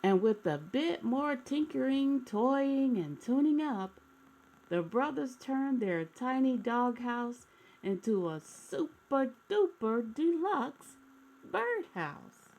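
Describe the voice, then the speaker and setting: A woman reading aloud to young children in an expressive storytime style, addressing her listeners directly. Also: high voice, takes it slowly, low-quality recording.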